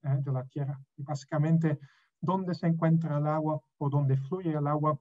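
A middle-aged man from Belgium, not a native speaker, lecturing as a professor on a video call, halting with many fillers and restarts. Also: a little high, fairly even intonation. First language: not Spanish. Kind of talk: online lecture. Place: room at home. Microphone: webcam on a video call. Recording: dull lo-fi video call audio.

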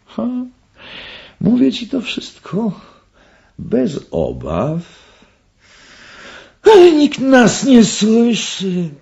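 An actor playing a deranged, gloating murderer in a radio play, theatrical, drawn-out and erratic in his delivery. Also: high-pitched, wildly swooping pitch, vocal fry, darkish tone, dull audio, heavily acted. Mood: manic boasting. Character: deranged, gloating male murderer, obsessed with knives.

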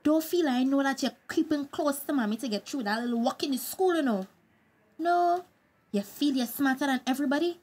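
A woman's voice: high voice, brisk, darkish tone, natural voice. A young woman with a Tobagonian accent playing an insolent, defiant grown daughter in a radio drama, cheeky and confrontational. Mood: insulting, angry.

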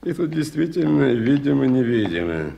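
An actor playing a polite, well-informed gentleman in a Soviet radio play, speaking in a measured, explanatory way. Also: mid-range voice, very animated, gravelly, lo-fi sound. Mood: earnest, explanatory. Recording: noisy 1980s radio play recording.

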